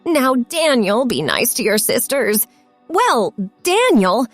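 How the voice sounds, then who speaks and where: An insistent storybook mother, acted by a woman narrator, with a fairly high voice. She is coaxing, firm and relentless, pushing her child for an answer over faint music. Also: big pitch swings, normal speed, no echo. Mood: coaxing firmness.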